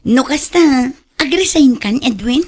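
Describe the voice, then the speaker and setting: A woman's voice: high-pitched, expressive, measured pace, darkish tone, breathy edge, exaggerated voice. A woman with a northern Philippine accent playing a frank, inquisitive confidante in a radio drama. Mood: curious, frank.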